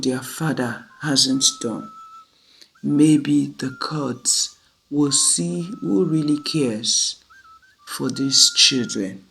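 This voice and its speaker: A storyteller voicing a determined, protective aunt in a story, earnest and deliberate, in short phrases. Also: mid-range voice, fairly bright tone, slight background noise, rough recording. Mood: resolute.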